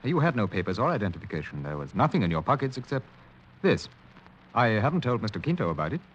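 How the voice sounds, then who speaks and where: Radio drama support: cautious older physician, lowish animated voice, gently tactful, muffled noisy forties recording. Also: male voice, fast talker. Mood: tactful, hesitant. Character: older, considerate, cautious male mission hospital doctor. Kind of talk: 1940s radio acting.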